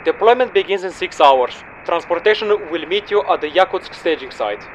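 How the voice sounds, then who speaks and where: Male actor as stern official handler, high male pitch, formal, curt, scripted game briefing, slight background noise. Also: lively intonation, normal speed, poor audio. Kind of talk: scripted mission briefing, audio log drama.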